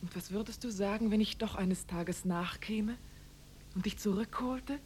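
A woman's voice: mid-range voice, moderate pace, a little mellow, close to an everyday voice. An actress playing an exasperated, yearning woman addressing an absent man with intimate theatrical reproach in a 1950s German radio play. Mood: reproachful, longing. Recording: noisy, humming 1950s radio recording.

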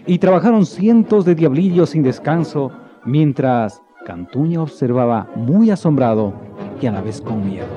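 A man narrating a legend in a radio drama with measured storytelling. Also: medium pitch, warm tone. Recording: dull lo-fi recording with faint music.

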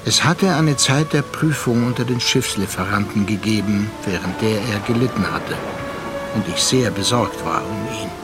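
An actor playing a sea captain who tells his tale in a radio play, with measured, literary narration. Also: fairly low pitch, natural voice. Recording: music behind the voice.

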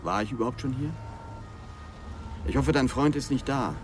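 An actor playing a stiff, aloof brother with a pointed, formal manner in a German radio crime drama. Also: male voice, medium pitch, normal speed, a little hiss or hum, lightly acted. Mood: cool, pointed. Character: stiff, aloof brother visiting his sister.

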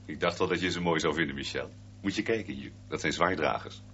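A man's voice: a bass voice, fast, a bit bright, faint music behind. An actor playing a man who proudly explains his aquarium, in naturalistic radio drama dialogue. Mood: eager, informative. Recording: dull radio play with faint hum.

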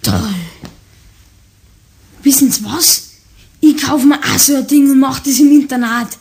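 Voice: high voice, a little slow, creaky, darkish tone. A performer playing a polite, fond young schoolboy with a Bavarian accent, earnest and conversational, in a radio play. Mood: amused. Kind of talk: German radio play acting.

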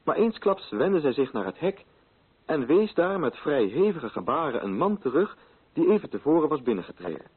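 Male narrator for radio drama: scripted literary delivery, animated pitch, slightly rough husky tone, narrow tinny sound. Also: normal speed. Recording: thin, phone-like old radio recording.

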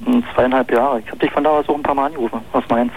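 A man's voice: mid-range voice, fairly even intonation, very fast, slight background noise. A young man with a Berlin accent calling in to a radio show, talking hesitantly with many fillers. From East Berlin. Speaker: caller. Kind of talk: phone-in chat. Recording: muffled phone-in radio line.

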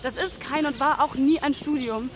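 A woman acting as a frustrated graduate in her twenties speaks in a high voice. She is disillusioned and argumentative, in naturalistic radio drama dialogue recorded with clear background noise. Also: moderate pace, natural voice. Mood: bitter, agitated. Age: 20s. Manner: argumentative, questioning. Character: frustrated, disillusioned young pharmacy graduate working in a bar.

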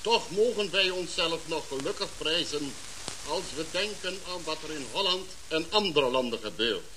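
A man's voice: a little high, lively intonation, normal speed. An actor playing a formal government spokesman giving a stiff, official address, heard through a crackling radio receiver in a radio drama. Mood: solemn, official. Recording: heavy hiss and crackle of radio reception.

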